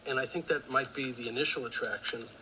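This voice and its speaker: A man from New Jersey speaking in a documentary interview, deliberate and a little wry. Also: medium pitch, steady tone, background noise. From New Jersey, USA. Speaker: case supporter interviewed in a documentary.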